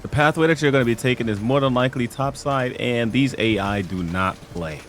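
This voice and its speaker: A man's voice: medium pitch, fairly quick. A man narrating a casual game tutorial, laid-back and slangy, talking straight to his viewers. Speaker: gaming video creator.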